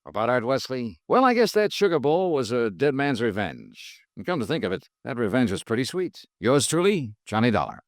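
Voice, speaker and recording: A hard-boiled American private investigator in a 1950s radio drama. He talks in terse, deadpan lines edged with sarcasm, yet his pitch moves widely. The sound is dry, with no room around him. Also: brisk, few pauses, no room sound. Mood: wry. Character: hard-boiled, wry male insurance investigator narrating his case. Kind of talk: 1950s radio noir acting.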